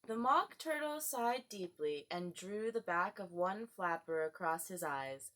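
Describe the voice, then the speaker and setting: A woman's voice: on the low side, animated, a little slow, fairly bright tone. A woman reading a storybook aloud as scripted narration. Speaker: audiobook reader.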